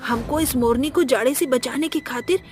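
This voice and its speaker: A woman voicing a sensible, protective fifteen-year-old boy in a cartoon, speaking calmly and reassuringly. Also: high-pitched, normal speed, soft background music, close to an everyday voice. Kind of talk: cartoon voice acting.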